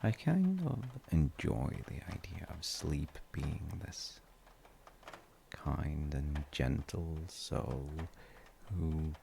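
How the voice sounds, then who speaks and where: A man playing a gentle, musing father in a sleep-aid audio roleplay, talking in unhurried, halting rambles with a low, gravelly voice. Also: lively intonation, a little hiss or hum, heavily acted. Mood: soothing, musing. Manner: unhurried, musing, halting with soft trailing phrases. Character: gentle, musing father comforting his tired grown child.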